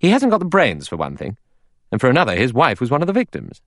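A man voicing a younger male character in an audiobook, cynical and irritable, with a quick, offhand, argumentative delivery. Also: mid-range voice, huge pitch swings, dry recording, clean studio sound, pitched above the performer's own voice.

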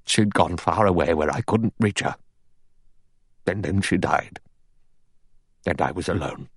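A man voicing a guilt-haunted, lonely old general who muses aloud in a husky, halting, distracted way. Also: low-pitched, no echo, clean studio sound. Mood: lost, grieving. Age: older.

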